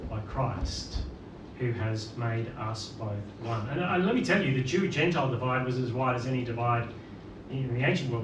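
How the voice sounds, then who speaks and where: A middle-aged man from Northern Ireland with a Northern Irish accent, preaching a sermon and asking the congregation rhetorical questions. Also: medium pitch. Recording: roomy, distant mic with background noise.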